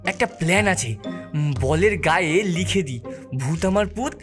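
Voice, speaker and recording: A man's voice: sits high, expressive, a little slow, soft background music. A man voicing a confident, scheming cartoon boy cricketer who bosses his teammates.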